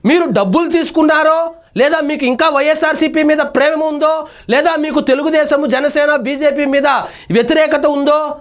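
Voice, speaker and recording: A man's voice: very high voice, fairly even intonation, moderate pace. A man delivers opinionated news commentary, reading out reports and arguing emphatically with indignant rhetorical questions. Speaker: news analyst and presenter.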